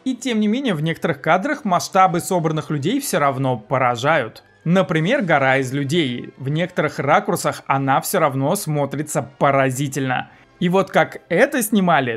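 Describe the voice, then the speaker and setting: Guy in his 30s doing a scripted movie review vid, voice a bit high, light music under it. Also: expressive, moderate pace, few pauses, a bit bright. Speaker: film review video host. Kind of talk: scripted video essay narration. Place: small indoor room, seated at desk.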